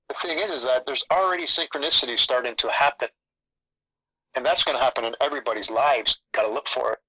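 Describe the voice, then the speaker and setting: A man's voice: medium pitch, pushed and bright, no echo, low-quality recording. A man who grew up in Canada with Italian as his first language, rambling through a casual spiritual chat and repeating phrases. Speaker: spiritual channel host.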